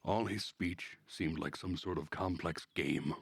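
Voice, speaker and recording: Very deep-voiced man reading a story aloud. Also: measured pace, creaky, slightly rough, no room sound. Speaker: streamer reading a visual novel aloud. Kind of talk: narration of a story read aloud.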